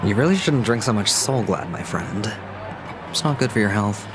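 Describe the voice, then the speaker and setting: An actor playing a suave, wry operative in a video game, courteous with a theatrical sarcastic edge. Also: male voice, fairly low pitch, expressive, moderate pace, lightly acted. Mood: polite, probing. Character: suave, wry male operative, outwardly polite. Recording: background music under the voice.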